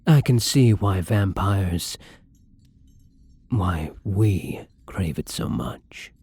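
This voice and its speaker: A man playing a gentle, lonely, tormented vampire in an intimate ASMR roleplay, speaking softly and hesitantly in a breathy, brooding way, often near a whisper. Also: deep voice, animated, full character voice. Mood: brooding, haunted. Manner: slow, hesitant, brooding, tender. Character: melancholy young male vampire, gentle, lonely, tormented, once human.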